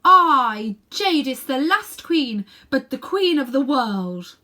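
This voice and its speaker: Imperious, ruthless sorceress queen, acted by a woman, swooping pitch. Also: sing-song, takes it slowly, pushed and bright, exaggerated voice. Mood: triumphant. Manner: declamatory, haughty, emphatic. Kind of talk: dramatic children's storybook reading.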